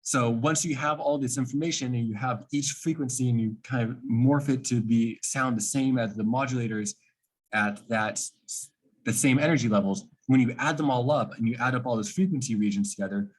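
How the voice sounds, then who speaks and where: A man with a slightly husky voice giving an informal technical explanation, like a student presenting a project, posing questions and then answering them. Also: medium pitch, fairly quick, poor audio.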